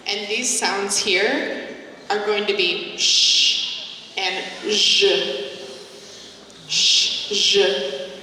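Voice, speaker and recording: A woman's voice: mid-range voice, pushed and bright, a little hiss or hum. A young American woman giving a phonetics lecture to teachers, patiently demonstrating sounds aloud. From United States. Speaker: English teacher trainer. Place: large conference hall. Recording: echoey hall from far off.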